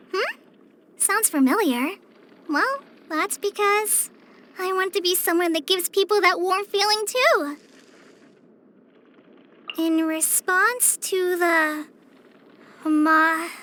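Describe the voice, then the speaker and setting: Very high, shy teenage girl. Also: bright, forceful tone, exaggerated voice. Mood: thoughtful. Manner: hesitant, stammering, self-conscious. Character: shy, apologetic, earnest teenage girl ranger with a troubled past. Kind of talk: video game character voice-over.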